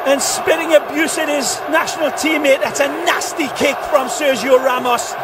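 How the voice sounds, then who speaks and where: A man shouting colourful live football commentary. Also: very high-pitched, steady tone, measured pace, over a noisy crowd. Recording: distant, noisy stadium broadcast clip.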